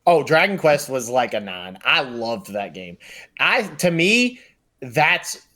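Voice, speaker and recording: A male podcast co-host speaks in a mid-pitched voice. His talk is casual and unscripted, a little halting, and he often qualifies his own points as he goes. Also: sing-song, sharp tone.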